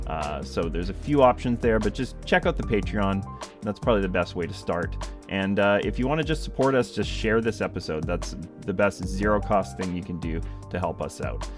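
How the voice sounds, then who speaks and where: A man hosting a podcast, talking in an easygoing, chatty way. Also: sits low. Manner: easygoing, chatty, quick laughs. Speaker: podcast host. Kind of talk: casual podcast chat.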